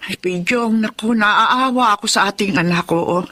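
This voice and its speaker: An actress playing a gentle, anxious middle-aged mother in a radio drama, pleading and soft-hearted, with a Filipino accent. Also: medium pitch, moderate pace. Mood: worried, pitying. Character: gentle, anxious mother defending her grown daughter. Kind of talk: Tagalog radio drama acting.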